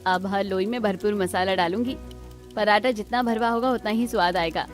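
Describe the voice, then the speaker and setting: A woman's voice: medium pitch, normal speed, soft background music, natural voice. A woman playing a polite, determined young daughter-in-law in a cartoon story, sincere in manner. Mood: hopeful.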